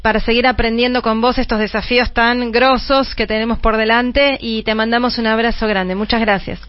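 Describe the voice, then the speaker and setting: Locutora argentina de radio, voz apagada. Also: female voice, on the high side, flat delivery, fairly quick, no room sound, highs cut off. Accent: Argentine Spanish. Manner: warm conversational, reading listener messages. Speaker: radio host. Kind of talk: radio talk show.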